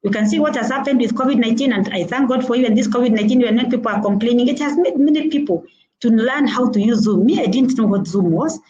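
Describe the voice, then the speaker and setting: Earnest Kenyan woman, warm mellow voice. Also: fairly high pitch, quick pace, dull audio, a little hiss or hum. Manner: earnest and advising, repeating points with frequent "uh" hesitations. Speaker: motivational speaker. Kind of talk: instructive talk on networking. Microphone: video call.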